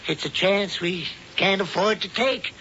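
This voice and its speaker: An actor playing a scheming middle-aged warlock who talks of murder in a smooth, matter-of-fact way, in a 1970s radio drama. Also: male voice, high-pitched, measured pace, bright, forceful tone, a little hiss or hum, full character voice. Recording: dull old radio broadcast.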